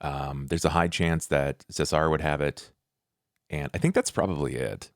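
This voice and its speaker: A man's voice: very deep voice, fairly even intonation, moderate pace, no room sound, studio quality. A man chatting casually as a player and co-host on a tabletop roleplaying podcast. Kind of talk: casual chat.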